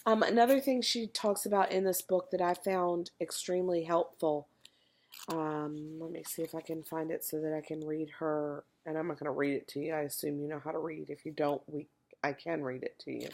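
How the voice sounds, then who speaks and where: A woman coaching a fitness group in a casual video talk, her voice hoarse and cracking, chatty with many fillers and restarts. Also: low-pitched, steady tone, brisk, a little mellow.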